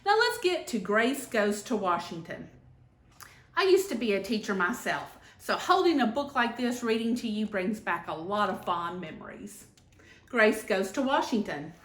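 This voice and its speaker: A woman reading a children's picture book aloud in an expressive, deliberate storytelling manner. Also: mid-range voice. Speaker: senator and former teacher reading aloud. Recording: echoey room.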